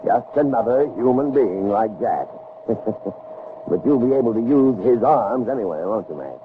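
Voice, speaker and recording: Man playing a proud, animated older scientist. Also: mid-range voice, phone-quality sound, close to an everyday voice. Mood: dismissive. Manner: grandiose, brisk, self-satisfied. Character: proud, obsessive older male scientist devoted to his machine. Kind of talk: 1960s radio drama acting. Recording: noisy, band-limited vintage radio recording.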